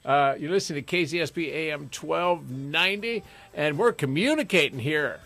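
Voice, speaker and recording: A middle-aged man hosting a radio talk show in a studio, talking loudly and forcefully with jokes. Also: on the high side, moderate pace, vocal fry, faint music behind. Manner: loud, forceful, joking.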